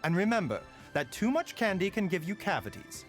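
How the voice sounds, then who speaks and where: Brief: caring game doctor, soft and measured, lively pitch, light music underneath. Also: male voice. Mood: calm. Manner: soft-spoken, measured, sighing. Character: gentle, caring male physician, middle-aged. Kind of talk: game character voice lines. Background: faint music.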